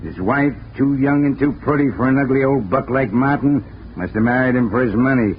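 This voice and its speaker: A man acting a gruff, blunt police lieutenant in a radio drama, curt and cynical. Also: mid-range voice, brisk, lightly acted. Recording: dull old radio broadcast with hiss.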